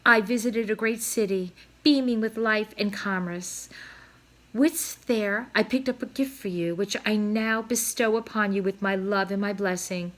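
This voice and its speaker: A woman's voice: mid-range voice, steady tone, unhurried, a bit bright, lightly acted. A woman voicing a pompous male swan, a proud and doting father, with florid, declamatory speech in a children's storybook reading. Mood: proud, grand.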